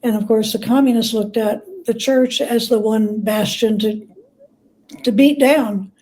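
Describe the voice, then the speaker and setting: A woman speaking as a guest scholar in an interview, explaining things conversationally in halting, thoughtful phrases with self-corrections. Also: a little high, a little mellow, a little hiss or hum, low-quality recording.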